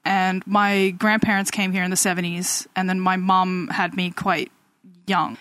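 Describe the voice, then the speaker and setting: A woman's voice: on the low side, little pitch movement, unhurried, a bit bright, no echo. Woman, from Australia, Australian-American accent, hesitant, casual chat, dry sound. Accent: mixed Australian and American. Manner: hesitant, lots of fillers.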